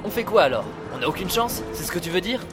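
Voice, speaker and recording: A man's voice: high-pitched, sing-song, fast. A man acting an anxious but brave, wry inventor brother in an audio drama, given to grumbling. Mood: frantic. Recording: loud music behind.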